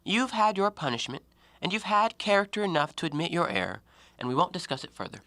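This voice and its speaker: Actor doing an educated guy in a radio play, cool and rationalizing, lively pitch, bright, super dry sound. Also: quick pace, no room sound. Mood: dismissive, probing. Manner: articulate, rationalizing, formal stage diction. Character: educated, self-justifying man, coolly confessing to a killing. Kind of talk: stage-play acting in an audio drama.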